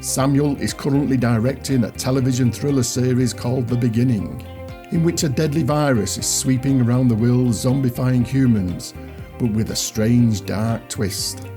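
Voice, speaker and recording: A man's voice: mid-range voice, moderate pace, over music. A man hosting a podcast, moving between a scripted introduction and casual interview questions. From Greater Manchester, England.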